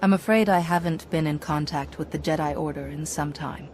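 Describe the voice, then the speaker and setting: A woman acts out a resolute sci-fi commander. Her voice sits low for a woman, direct and composed, with faint noise underneath. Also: a little fast, a little mellow, natural voice. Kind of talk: video game cutscene acting.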